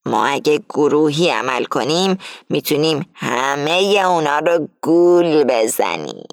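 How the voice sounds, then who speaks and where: A woman reading as an older female gang leader who lays out a heist plan firmly and deliberately. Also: deep voice, very animated, gravelly, no room sound, clean studio sound, full character voice. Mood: scheming, instructing. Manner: firm, explaining slowly and deliberately.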